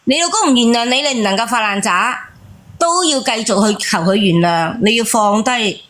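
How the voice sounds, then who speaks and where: A woman's voice: fairly high pitch, lively intonation, normal speed, fairly bright tone. A woman giving insistent, admonishing advice on a live talk show, repeating her points.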